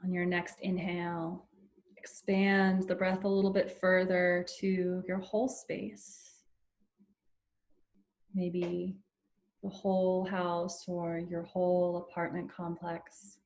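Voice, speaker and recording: A woman leading a guided meditation in a soothing, unhurried way with long pauses between phrases. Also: on the low side, monotone, darkish tone.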